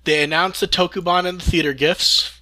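A man's voice: high voice, steady tone, brisk, pushed and bright, low-quality recording. A man hosting a podcast, going through news in a casual discussion style. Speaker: podcast host.

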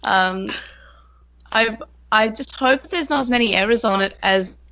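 A woman co-hosting a casual podcast, halting and stumbling over her words on an online call that breaks up. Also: a little high, sharp tone, dry recording. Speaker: podcast co-host.

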